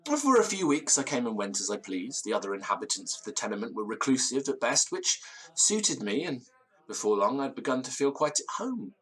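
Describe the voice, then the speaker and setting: Nervous, florid gentleman, swooping pitch. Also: big pitch swings, brisk, husky, poor audio. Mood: uneasy, reminiscing. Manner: old-fashioned gentlemanly diction, stammering, florid. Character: nervous, theatrical, hard-drinking disgraced university gentleman storyteller. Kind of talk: audio drama acting.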